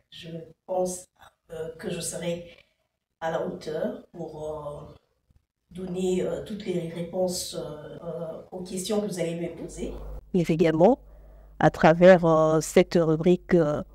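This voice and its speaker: Slow, hesitant Congolese woman in an echoey room. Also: fairly low pitch, warm tone. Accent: Congolese French. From Democratic Republic of the Congo. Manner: hesitant, frequent "euh" fillers. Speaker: show creator, interviewee. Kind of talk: slow, measured personal storytelling. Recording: distant, echoey room with faint ambience.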